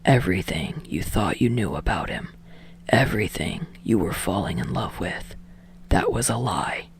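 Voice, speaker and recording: A woman voicing a weary, exasperated male film director in a low, flat, hushed delivery for an audiobook story. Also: moderate pace, breathy edge, full character voice. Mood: quiet, grave.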